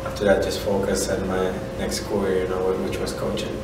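A man in his thirties from Honduras with a Central American Spanish accent, a native Spanish speaker, giving halting, casual interview answers as a soccer coach, often saying "you know". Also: low voice, completely flat delivery. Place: indoor room at a college. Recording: echoey room, distant mic, background noise.

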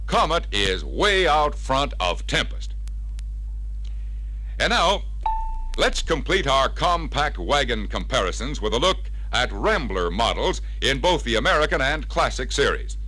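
A middle-aged man narrates a dealer training film in polished, persuasive tones. His pitch rises and falls a great deal as he hammers home each comparison. The sound comes from an early 1960s vinyl record. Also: pushed and bright, low-quality recording. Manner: persuasive, emphatic, comparing point by point. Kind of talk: scripted sales narration.

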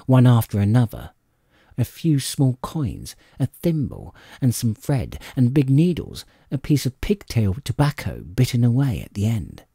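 Male audiobook narrator, dark mellow tone, husky, dramatic, suspenseful storytelling. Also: medium pitch, no room sound, studio quality.

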